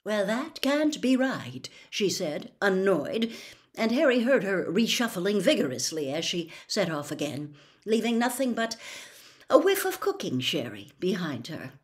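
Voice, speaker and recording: A woman's voice: medium pitch, very animated, measured pace. A woman reading a novel aloud as a storybook narrator. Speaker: audiobook reader.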